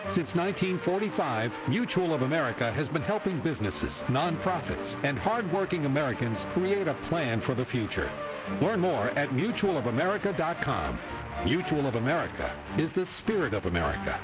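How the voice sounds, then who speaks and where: A man's voice: a little high, very animated, brisk, low-quality recording. A man reading a scripted corporate radio commercial as a voice-over announcer. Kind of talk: scripted corporate advert narration. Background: music bed.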